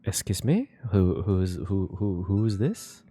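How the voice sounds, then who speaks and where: A man hosting a casual reaction video on a game story, excitable and given to repeating phrases for emphasis. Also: low-pitched, measured pace, husky.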